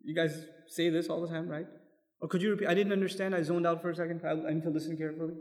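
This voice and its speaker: A man's voice: fairly high pitch, level tone, very fast, darkish tone. Male Quran teacher, raised voice register, lecture-style sermon in a reverberant hall. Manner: explains step by step, asks the audience questions; quotes Arabic verses.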